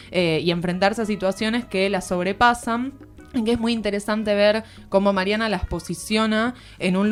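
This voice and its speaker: A woman with a Buenos Aires area Argentine accent giving a conversational book commentary at a studio microphone, reflective and informal, searching for words as she explains. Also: medium pitch. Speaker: literary columnist on a radio show. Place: radio studio.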